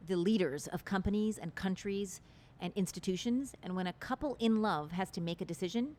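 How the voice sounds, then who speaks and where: A woman's voice: mid-range voice, fairly quick, a little mellow. A woman guest in an interview, talking about relationships in an articulate, expansive and earnest way. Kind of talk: interview conversation.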